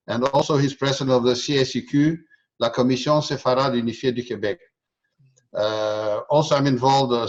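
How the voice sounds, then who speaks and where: An older man in his seventies speaks at a middle pitch over muffled, lo-fi call audio. He is from Romania and Israel and presents himself as a guest speaker, pausing often as he goes. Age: 70s. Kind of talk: prepared talk introduction on a video call. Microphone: video call. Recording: dull lo-fi video call audio.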